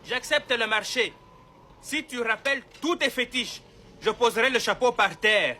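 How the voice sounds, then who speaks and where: A man playing a hesitant, proud young village warrior and uncle in a noisy animated film soundtrack. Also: very high-pitched, moderate pace, heavily acted. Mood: wary bargaining.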